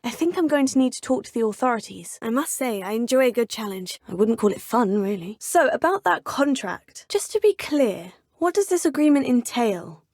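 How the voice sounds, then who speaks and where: A woman's voice: fairly high pitch, big pitch swings, normal speed, hardly any pauses, a little mellow, dry recording, studio quality. A well-spoken young witch. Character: young female witch student, polite and curious. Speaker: player character. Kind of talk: video game voice lines. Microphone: close studio mic.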